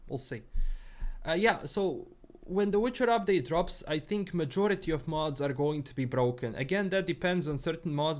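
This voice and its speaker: A young man from Bosnia with a Bosnian accent, chatting casually on a livestream and rambling with lots of fillers and restarts. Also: fairly high pitch. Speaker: streamer. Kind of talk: casual livestream chat, reading chat.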